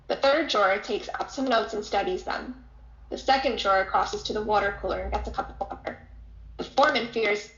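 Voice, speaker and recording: Female narrator for a play's stage directions, medium pitch, dull echoey room sound. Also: fairly bright tone. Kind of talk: scripted narration.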